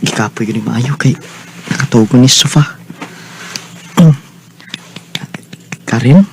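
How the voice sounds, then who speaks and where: A man's voice: mid-range voice, brisk, slight background noise, close to an everyday voice. An actor playing a kind, attentive man in a radio drama, speaking expressively and conversationally with a Cebuano Filipino accent. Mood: gentle, observing. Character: Robin, kind, attentive man hosting and admiring a woman friend.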